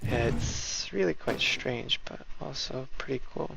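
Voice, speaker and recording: A man's voice: mid-range voice, slow, creaky, background noise. A man streaming a video game with casual commentary, often talking himself through his moves. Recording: loud game music behind voice. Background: loud video game music.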